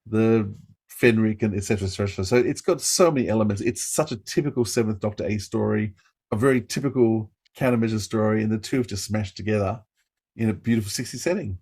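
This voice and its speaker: Male podcast host, lower-pitched voice, casual fan discussion and interview style. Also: quick pace, a little mellow, slightly rough.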